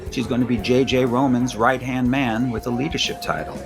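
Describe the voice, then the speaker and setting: A man's voice: medium pitch, fairly even intonation, fairly quick, creaky, natural voice. A middle-aged man acting a breezy, probing businessman and father in an audio soap opera. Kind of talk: naturalistic soap opera dialogue. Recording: studio drama with music underneath. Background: music.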